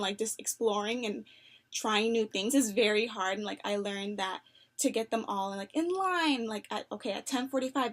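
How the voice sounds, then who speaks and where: A young adult woman answering phone interview questions in an earnest, upbeat way, with many fillers and soft laughs. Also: on the high side. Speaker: job applicant.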